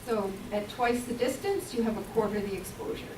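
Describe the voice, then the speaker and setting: A woman's voice: mid-range voice, lively intonation, fairly quick. Woman, public health talk, earnest and explanatory, distant mic, roomy hall, background noise. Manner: earnest, explanatory, with frequent ums. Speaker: health speaker.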